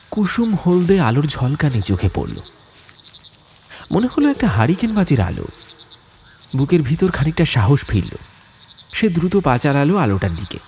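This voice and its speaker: Expressive male horror storyteller, lively pitch. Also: a little hiss or hum. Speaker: audiobook narrator. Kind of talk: dramatic horror story narration.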